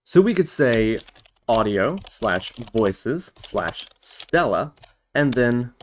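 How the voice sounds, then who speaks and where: A man's voice: medium pitch, very animated, slow pace, a little hiss or hum. A man hosting a casual programming tutorial, talking through each step as he types it. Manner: spells out steps as he types. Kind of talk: casual screen-recorded coding tutorial narration.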